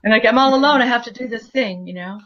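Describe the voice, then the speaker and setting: A woman's voice: on the high side, fast. A woman joins as a guest author over a video call. She speaks tentatively and trails off, and the call audio sounds dull and muffled.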